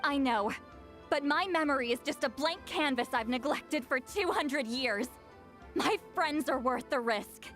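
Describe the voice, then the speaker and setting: A woman voicing a proud, brusque, youthful-sounding shaman in a video game, with a brisk and blunt way of talking. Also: high-pitched, animated, fairly bright tone, soft background music. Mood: earnest.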